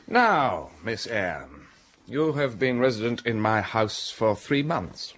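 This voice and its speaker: An actor playing an imperious gentleman in a radio drama, questioning curtly. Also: mid-range voice, sing-song, takes it slowly, slight background noise. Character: brusque, commanding gentleman of the manor.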